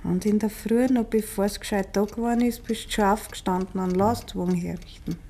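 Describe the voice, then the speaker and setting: An actress playing an anxious, probing village wife in a 1950s Bavarian dialect radio play, speaking with grave insistence. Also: female voice, mid-range voice, fairly even intonation, a little fast, warm tone, slight background noise, close to an everyday voice. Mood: suspicious, probing.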